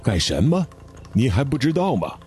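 A man voicing an angry male worker who threatens a drilling crew, shouting and furious. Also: mid-range voice, very animated, normal speed, slight background noise, pitched above the performer's own voice, natural voice.

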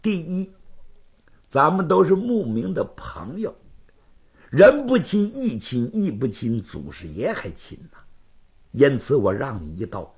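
A man's voice: mid-range voice, wildly swooping pitch. A male storyteller voicing a courteous, composed knight-errant who reasons patiently and firmly with his challenger. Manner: measured, conciliatory, gently reasoning. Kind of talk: Chinese storytelling performance.

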